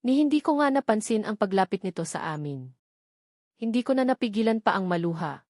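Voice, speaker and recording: A woman's voice: medium pitch, sing-song, fairly quick, a little mellow, no echo, studio quality. A woman with a Filipino accent reading a dramatic first-person novel as an audiobook narrator.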